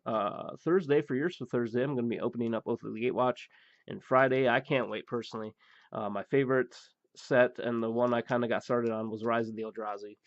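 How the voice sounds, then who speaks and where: A man hosting a pack-opening show, rambling through the cards with many fillers and blunt remarks, his voice going hoarse. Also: medium pitch, steady tone, fairly quick, no echo. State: losing his voice. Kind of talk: casual card-opening commentary. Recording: dull, dry recording.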